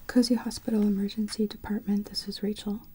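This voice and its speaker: Woman doing asmr whispers, soft polite phone-desk voice, super mellow, faint hiss behind. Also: medium pitch, flat delivery, brisk. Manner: soft, mostly unvoiced whisper. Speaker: ASMR roleplay host as hospital receptionist. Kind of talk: ASMR roleplay.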